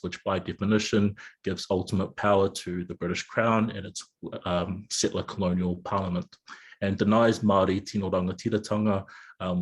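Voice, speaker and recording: A man's voice: low voice, husky. A man from Samoa, a law lecturer giving an earnest prepared talk over a video call, with some stumbling restarts. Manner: earnest, with occasional stammered restarts.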